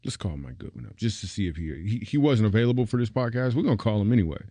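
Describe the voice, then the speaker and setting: A man's voice: on the low side, lively intonation, rapid-fire, mellow, dry recording. A man hosting a comedy podcast, joking and teasing playfully and laughing often. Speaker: podcast host. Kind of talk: casual comedy podcast banter.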